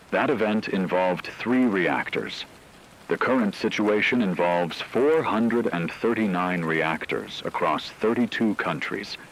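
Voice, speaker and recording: A man playing a grave emergency radio announcer, reading scripted broadcast narration in a measured, formal, somber way. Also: low voice, a little hiss or hum, low-quality recording. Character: grave male emergency radio announcer during global blackout.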